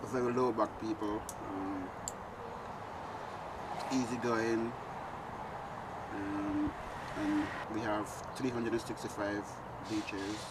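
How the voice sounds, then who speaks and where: A man from Antigua speaking in a laid-back, unhurried way with pauses, in a documentary interview. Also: fairly low pitch, level tone, recorded from a distance, very noisy.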